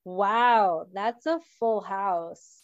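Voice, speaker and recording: A woman's voice: mid-range voice, drawn-out words, dry recording. A woman hosting a parenting podcast, asking questions in a friendly way with small restarts. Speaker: podcast host. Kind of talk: interview host. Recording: dry, dull lo-fi sound.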